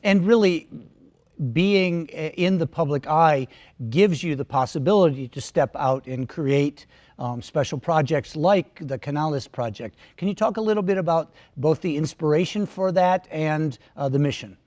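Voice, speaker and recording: Guy interviewer, short questions, higher voice. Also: moderate pace, darkish tone. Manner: brief, prompting.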